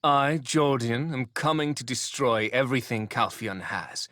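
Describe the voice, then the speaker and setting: An actor playing a vengeful, gloating dark lord in a video game, speaking in a deliberate, menacing, theatrical way. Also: male voice, mid-range voice, dry recording, studio quality. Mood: menacing, grandiose.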